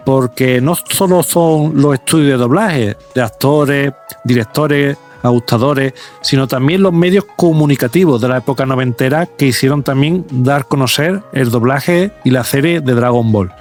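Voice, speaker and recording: A man's voice: mid-range voice, moderate pace, a little mellow. A man presenting as a podcast host, reading a scripted introduction. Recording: music bed behind the voice. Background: background music.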